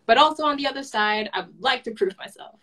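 A woman in her twenties from Hong Kong with a Hong Kong accent, telling her story softly as an interview guest on a webcam at home. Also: fairly high pitch, fast talker. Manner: soft-spoken, light laughs, simple phrasing. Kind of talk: personal story in a video call. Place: home room, indoors. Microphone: video call webcam.

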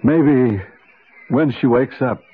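A man playing a concerned doctor uncle, halting but authoritative and reassuring, in old-time radio drama style. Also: medium pitch, phone-quality sound, very noisy, lightly acted. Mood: grave. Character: concerned older uncle, a doctor trying to keep calm.

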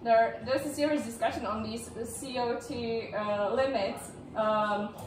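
High-voiced young woman in echoey room. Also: fairly even intonation, measured pace, background noise. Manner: chatty, laughs often, many fillers. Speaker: speaker at a meetup. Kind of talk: casual presentation talk. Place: large indoor room with projector screen. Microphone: far camera, no visible mic. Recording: echoey room audio from far off.

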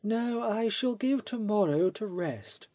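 A man's voice: high-pitched, lively intonation, takes it slowly, dark tone, no echo, pitched above the performer's own voice. A man voicing a vivacious, gushing society actress in a theatrical manner, reading from an audiobook. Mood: chatty, complaining.

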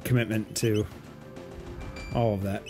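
A man's voice: on the low side, flat delivery, unhurried, warm tone, soft background music. A man co-hosting a game playthrough, reacting with dry amused remarks and guiding the player. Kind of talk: casual comedic chat.

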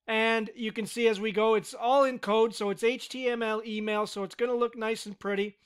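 A man giving a relaxed, step-by-step screen-recorded software tutorial with casual fillers. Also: sits high, clean tone. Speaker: tutorial instructor.

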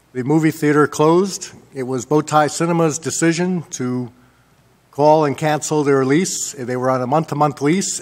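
A man in his sixties with an American accent gives measured mayoral remarks at a public meeting, pausing often as he works from notes. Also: mid-range voice. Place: indoor council chamber. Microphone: gooseneck desk microphone.

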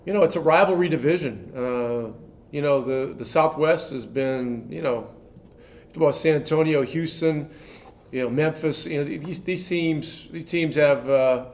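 An older man in his sixties, a head coach answering reporters' questions after a game with a measured delivery and many fillers. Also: fairly high pitch, a little mellow. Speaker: head coach at a press conference. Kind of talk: post-game press conference answers. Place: press room in front of a sponsor backdrop.

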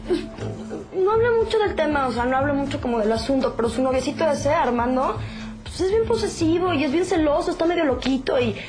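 An actress playing a streetwise, blunt, excitable young woman in a telenovela, speaking slangy, emphatic Spanish. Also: sits high, a little fast, music in the background. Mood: worried. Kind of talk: telenovela and film acting, colloquial street slang.

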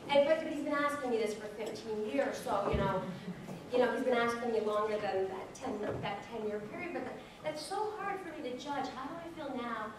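A woman's voice: on the high side, brisk, a crowd in the background. Reflective woman in her forties, echoey. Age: 40s. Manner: reflective, chatty, clears throat. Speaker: panelist. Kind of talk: casual personal account. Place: large meeting room, indoors. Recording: very echoey room, distant mic, noisy.

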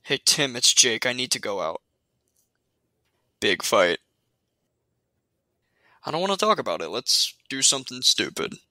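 Animated, sardonic young man, played by an actor. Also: medium pitch, measured pace, pauses often, no room sound, studio quality, heavily acted. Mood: restless, sardonic. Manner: emphatic, sardonic, argumentative. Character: irritated, sarcastic young man venting on the phone. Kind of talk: radio play acting.

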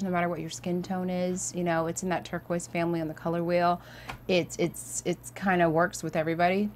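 A woman's voice: low voice, a little hiss or hum. A woman from Ohio hosting a fashion talk show, upbeat and bubbly, on a studio mic. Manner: upbeat, bubbly, many fillers. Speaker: host. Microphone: studio mic with headphones.

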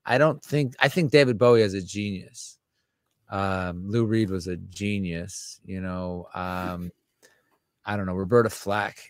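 A man, talking candidly as an interview guest, animated and self-deprecating, close to a studio mic at home. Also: a little slow, clear, resonant tone, dry recording. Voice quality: scratchy low voice. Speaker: musician and author, guest. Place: home room with a studio microphone. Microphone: large studio condenser mic on a stand.

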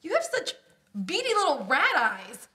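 Very high, goofy teasing sister, acted by a woman. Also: wildly swooping pitch, moderate pace, pushed and bright, a little hiss or hum, heavily acted. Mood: teasing, blunt. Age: young adult. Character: goofy, teasing, blunt younger-adult sister. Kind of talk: comedy table read.

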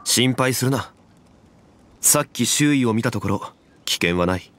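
An actor playing a composed, dignified, knowledgeable man in an anime game, speaking formally and deliberately. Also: mid-range voice, animated, fairly bright tone, a little hiss or hum. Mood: calm, courteous.

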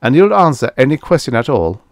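A man's voice: medium pitch, big pitch swings, moderate pace, dry recording, clean studio sound. Man acting a brash, greedy space explorer. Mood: incredulous, excited. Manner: brash, forceful, dismissive. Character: greedy, stubborn, impatient male space explorer.

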